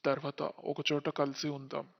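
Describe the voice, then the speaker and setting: A man playing a greedy, cunning young liar in a cartoon moral story, talking in a smooth, scheming manner. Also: fairly high pitch, monotone, moderate pace, long reverb tail, dull audio. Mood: smooth deceit. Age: young adult.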